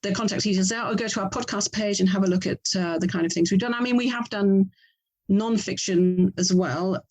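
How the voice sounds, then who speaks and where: A woman's voice: medium pitch, fairly quick, a little mellow, highs cut off. A woman from England speaking as an interview guest, thinking aloud and often correcting herself as she explains. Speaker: interview guest, author and audio drama producer. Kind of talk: conversational interview.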